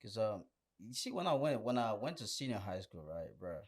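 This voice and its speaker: A man telling stories in a casual, animated way with lots of friendly slang, as a podcast guest. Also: lowish voice, moderate pace, husky. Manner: animated, slangy, punctuates with "bruh". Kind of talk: casual storytelling chat, slangy.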